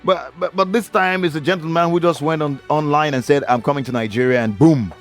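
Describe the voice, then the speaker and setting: A man's voice: on the high side, fairly quick, soft background music. A male radio co-host talking in an emphatic, heartfelt way, with many fillers. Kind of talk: casual radio talk.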